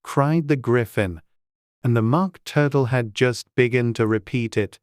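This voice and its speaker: A man's voice: mid-range voice, unhurried, no echo, studio quality. A man reading scripted storybook narration as an audiobook narrator.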